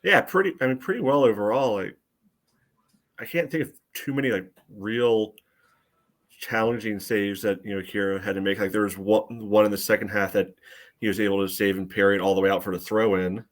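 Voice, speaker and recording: A man with a fairly low voice gives casual sports analysis as a podcast co-host. He hedges as he goes, padding his points with frequent "you know"s. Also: a little fast. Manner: hedging, peppers talk with "you know". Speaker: co-host of a soccer fan podcast.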